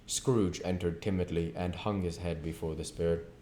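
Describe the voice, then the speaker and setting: A man narrating a literary story as an audiobook reader, with deliberate, emphatic phrasing and occasional stumbles. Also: low-pitched, steady tone, dark tone, slight background noise.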